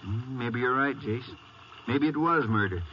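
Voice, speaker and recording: An actor playing a plain-spoken, folksy county sheriff in a 1950s radio western. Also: male voice, medium pitch, normal speed, natural voice. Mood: pondering. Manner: folksy, questioning. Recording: noisy, muffled old radio recording.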